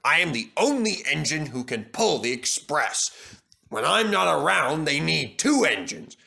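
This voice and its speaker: A man's voice: on the high side, very animated, a little slow, creaky, pushed and bright, heavily acted. A man voicing a proud, boastful big express engine with a pompous manner.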